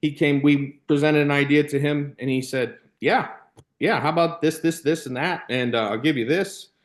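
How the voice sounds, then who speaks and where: A man's voice: on the high side, moderate pace. An American man in his thirties telling casual, rambling anecdotes as a podcast guest, often dropping in "you know", "like" and "man". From Illinois, United States. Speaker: podcast guest, former baseball player and youth coach.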